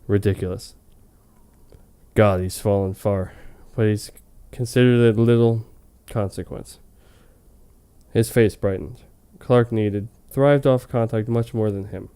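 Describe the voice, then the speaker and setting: A man's voice: fairly low pitch, steady tone, a little slow, warm tone, clean tone. A man reading fan fiction on a comedy show in a hammy, mock-dramatic way, with casual, amused asides. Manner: hammy, amused, breaking off to comment.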